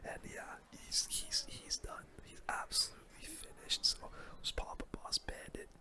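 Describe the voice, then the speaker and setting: Whispering ASMR gamer, bright and breathy. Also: unhurried. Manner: soft-spoken, casual, gamer slang. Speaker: gaming video creator, commentator. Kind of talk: whispered ASMR game commentary.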